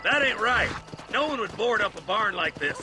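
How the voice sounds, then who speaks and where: An actor playing a rough, commanding posse leader in a western game, barking orders. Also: male voice, sits high, sing-song, moderate pace, music in the background. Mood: commanding, fired up. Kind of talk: video game western acting.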